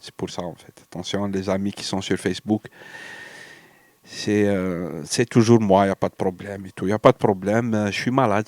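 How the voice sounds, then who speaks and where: Algerian guy vlogging while sick with a cold, rambly and hesitant, kinda low voice, dry sound. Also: darkish tone, dry recording. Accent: Algerian French. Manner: rambling and hesitant, mixing French with Arabic. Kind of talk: casual personal monologue.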